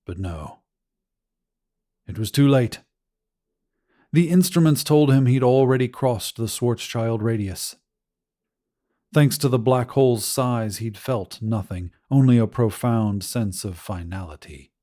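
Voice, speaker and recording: A man's voice: mid-range voice, measured pace, pauses often, dark tone. A man narrating a scripted short story as an audiobook reader.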